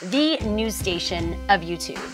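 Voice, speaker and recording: A woman's voice: mid-range voice, expressive, measured pace. Upbeat woman interviewer over music. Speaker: talk show host, interviewer. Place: studio.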